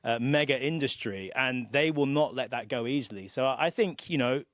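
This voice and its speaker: A middle-aged man, a guest politician, making his points in a measured, emphatic way. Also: mid-range voice, dry recording. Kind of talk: conversational political discussion.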